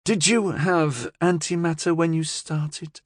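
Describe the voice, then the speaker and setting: A man's voice: on the high side, slow pace, no room sound, studio quality, pitched above the performer's own voice. A man voicing a cool, confident gangster's woman in an audiobook, speaking in a firm, assured, coaxing way.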